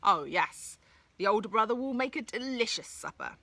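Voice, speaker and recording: A woman voicing a huge, hairy, greedy male troll in a storybook reading, slow and loud with growls. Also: a little high, very animated, dry recording, full character voice. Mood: menacing.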